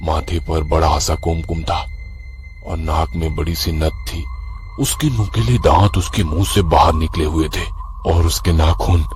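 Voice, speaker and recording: A man's voice: extremely low pitch, steady tone, unhurried, a little mellow, soft background music. A man telling a horror story as a scripted, dramatic first-person narration.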